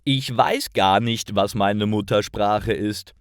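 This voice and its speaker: A man's voice: on the low side, slow pace, clear, resonant tone, dry recording, clean studio sound. A man voicing a cheerful, chatty man of about thirty-five who grins broadly. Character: friendly older-sounding thirty-something man, beaming.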